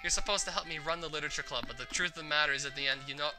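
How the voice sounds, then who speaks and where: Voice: mid-range voice, racing, music in the background, lightly acted. A performer voicing a stern, condescending teenage girl club president who scolds in a visual novel. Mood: scolding.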